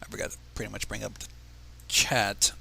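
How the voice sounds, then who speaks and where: A man's voice: lowish voice, flat delivery, a little fast, creaky, sharp tone, breathy edge. A man reading fan fiction aloud on a livestream, narrating expressively and dropping into casual asides. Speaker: livestream reader.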